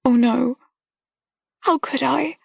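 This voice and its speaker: A woman's voice: high voice, slow pace, vocal fry, a little mellow, slightly rough, no echo, studio quality, pitched above the performer's own voice, full character voice. Woman doing a tearful, trembling young lady. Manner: breathless, pleading, breaking into whispers.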